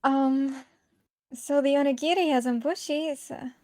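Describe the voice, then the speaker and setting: A woman's voice: high voice, fairly even intonation, a little slow, darkish tone, no room sound, clean studio sound. A woman chatting casually as co-reader in a visual novel readalong.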